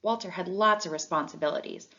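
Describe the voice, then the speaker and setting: Female storybook reader, slightly low voice, expressive read-aloud for children, dull recording. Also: quick pace.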